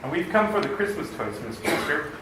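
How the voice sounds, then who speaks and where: A man's voice: a little high, very animated, brisk, pushed and bright, distant mic. Man playing a folksy cop, very echoey. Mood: affable. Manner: folksy, matter-of-fact. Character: friendly, plainspoken neighborhood police officer. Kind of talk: old-time radio comedy acting. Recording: echoey hall from afar, faint noise.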